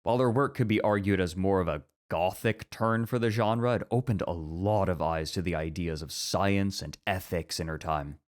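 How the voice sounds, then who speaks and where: Man acting a deep-voiced, formal scholar. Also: normal speed, few pauses, lightly acted. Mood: scholarly, measured. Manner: formal, reasoned, pedantic. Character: measured, scholarly, traditionalist male literature teacher. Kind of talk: scripted ASMR roleplay acting.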